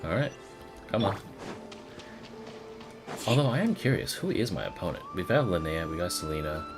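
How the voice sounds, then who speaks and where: A man with a low voice and lively intonation, streaming a game. He chats casually to himself as he plays, with music clearly behind him. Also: normal speed. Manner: talks to himself, reads game text aloud. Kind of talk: casual livestream gameplay commentary.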